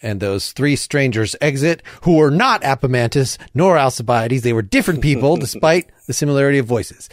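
Man, mid-pitched, dry close sound, informal narrator reading stage directions. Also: expressive, fairly quick, few pauses, no echo. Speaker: narrator and host.

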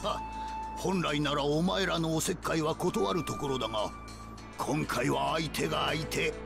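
A stubborn little old anime game ninja master, grumbling in a high, gravelly voice. His intonation swings widely over loud music. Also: a little slow. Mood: gruff, resolute. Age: older. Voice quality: creaky, gravelly. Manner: grumbling, old-man speech. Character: tiny, gruff, stubborn old male ninja leader. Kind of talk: Japanese anime game voice acting. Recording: loud background music bed.

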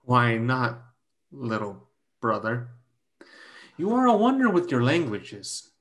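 A man's voice: mid-range voice, wildly swooping pitch, takes it slowly, fairly bright tone, full character voice. A man playing an insinuating, flirtatious suitor, coaxing and needling in stage dialogue. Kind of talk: dramatic dialogue in a play reading. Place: indoors at home on a video call.

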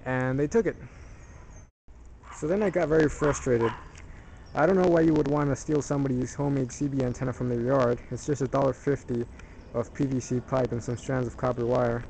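A man presenting a hands-on DIY tutorial in an informal, halting way, correcting himself as he explains. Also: mid-range voice, fairly even intonation, gravelly, darkish tone, slight background noise, low-quality recording.

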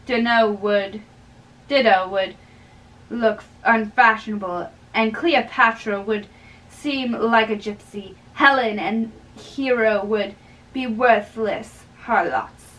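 Young woman, amateur play reader, slow and halting, bright forceful voice, picked up from across a bedroom. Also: on the high side. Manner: halting, stumbles over words. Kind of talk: dramatic reading of a play in modern English. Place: small bedroom, indoors. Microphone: far camera. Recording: distant microphone in a room.

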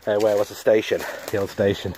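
A man's voice: on the low side, little pitch movement, fast talker, mellow, a little hiss or hum. A man giving informal walk-around commentary to viewers as an exploration vlogger, recorded outdoors on a handheld device. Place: outdoors and in derelict roofless buildings.

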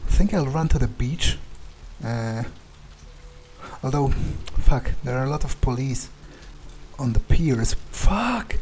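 A man improvising in-character game narration, halting and sweary as he mutters to himself. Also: medium pitch, animated, a little mellow, a little hiss or hum, poor audio. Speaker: player role-playing his game character.

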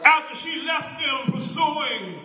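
A man's voice: very high voice, animated, takes it slowly, bright, forceful tone. A man preaching a sermon from a church pulpit into a handheld mic, swinging into a sing-song whoop. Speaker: pastor. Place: large church sanctuary, at a pulpit. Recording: echoey sanctuary with long reverb.

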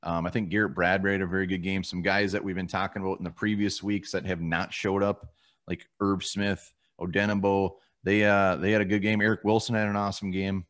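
A man's voice: low-pitched, little pitch movement, brisk, a bit bright. A man co-hosting a casual postgame sports show from a home room over a video call, blunt and casually swearing as he runs through stats. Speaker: co-host of a sports fan show.

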